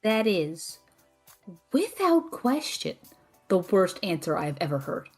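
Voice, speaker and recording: A woman voicing a blunt, sarcastic young magic student in an audio drama, often exasperated and deadpan. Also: lowish voice, takes it slowly, soft background music, full character voice. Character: sardonic, blunt young magic student, quick to threaten friends. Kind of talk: audio drama character banter.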